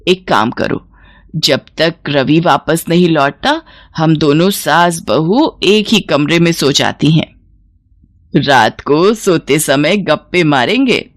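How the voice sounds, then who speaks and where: A woman's voice: low voice, lively intonation, slow pace, dry recording, clean studio sound, voice pushed down. Woman acting a dramatic older Indian-family mother-in-law. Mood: doting, coaxing. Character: older mother-in-law, emotional, doting then scolding. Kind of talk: animated moral story voice acting.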